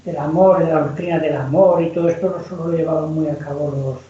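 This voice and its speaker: A man's voice: fairly high pitch, steady tone, fairly quick, dark tone. A man from Spain who writes novels, talking loosely about history in a rambling way with many restarts. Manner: rambling, frequent restarts and repeated words. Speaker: novelist guest. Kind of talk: casual conversation on history. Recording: dull lo-fi sound in an echoey room.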